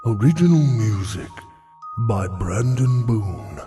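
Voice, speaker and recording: A man announces credits from a script, slowly and in a lowish voice. Long reverb trails his words. Clear chiming music plays behind. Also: very animated, mellow.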